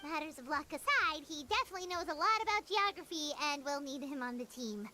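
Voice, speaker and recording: Squeaky, very high little fairy companion. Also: female voice, lively intonation, fairly quick, pushed and bright, slight background noise. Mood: curious, urgent. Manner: squeaky, speaks of self in third person. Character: small floating childlike female fairy companion, chirpy and excitable. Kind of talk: anime game voice acting.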